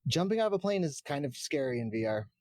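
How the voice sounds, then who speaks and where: A man's voice: on the high side, sing-song, quick pace, darkish tone, no room sound. A man in casual gaming chat as a podcast guest, explaining things in a rambling way. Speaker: podcast guest, game streamer.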